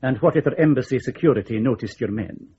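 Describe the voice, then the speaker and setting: An actor playing a sardonic, canny intelligence section chief in a radio drama, talking with dry sarcasm. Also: male voice, mid-range voice, quick pace, warm tone, a little hiss or hum, close to an everyday voice. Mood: dryly sarcastic. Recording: dull lo-fi recording.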